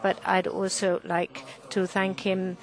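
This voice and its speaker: Slow, halting woman interpreter. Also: medium pitch, completely flat delivery. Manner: halting, frequent restarts and self-corrections. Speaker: conference interpreter. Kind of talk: simultaneous interpretation of a panel talk.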